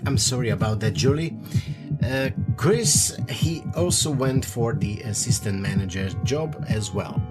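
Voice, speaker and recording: A man playing a polite, evasive hotel manager in a radio play, speaking in a hesitant, courteous, measured way. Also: mid-range voice, expressive, natural voice. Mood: smooth, apologetic. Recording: loud music behind the voice.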